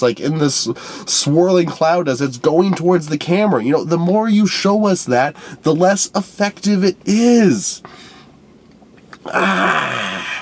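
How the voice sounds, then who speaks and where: A young man with a stuffed-up nose giving an unscripted, profane movie review rant, swinging between loud outbursts and low mutters.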